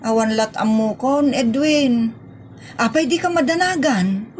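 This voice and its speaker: An actress plays a nagging woman with an Ilocano accent. Her voice is fairly high, with the scolding, put-upon delivery of comedic audio drama. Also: a little slow, a little hiss or hum, lightly acted. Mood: reproachful. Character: nagging, long-suffering woman scolding about drink.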